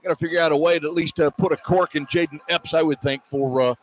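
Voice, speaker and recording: A man's voice: a little high, fairly even intonation, fast, slight background noise. A man hosting a sports radio broadcast with pre-game commentary and chat. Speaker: sports radio play-by-play host.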